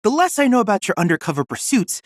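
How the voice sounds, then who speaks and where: This is a young android boy researcher in a video game, courteous, eager and bookish. The diction is formal and precise, with a lively, varied melody. The recording is dry and studio-clean, with no room sound. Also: high voice, quick pace, dry recording. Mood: puzzled, polite. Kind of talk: scripted video game character dialogue.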